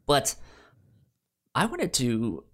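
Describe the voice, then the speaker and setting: A man's voice: mid-range voice, wildly swooping pitch, drawn-out words, a bit bright, breathy edge. A man hosting a podcast in casual chat about movies, often stammering and repeating words as he gets into a sentence. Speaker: podcast host.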